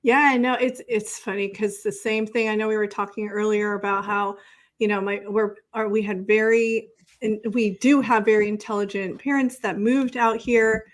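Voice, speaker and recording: A woman's voice: medium pitch, steady tone, moderate pace. A woman hosting a casual online interview, speaking in an informal, chatty, encouraging way. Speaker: show host interviewing a guest.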